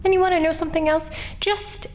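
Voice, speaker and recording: A woman's voice: very high-pitched, fairly even intonation, fast talker, a little hiss or hum. A woman playing a brash witness at an inquest, flippant and defensive in an audio drama. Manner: defensive, flippant, laughs things off. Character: brash, self-justifying woman on the stand.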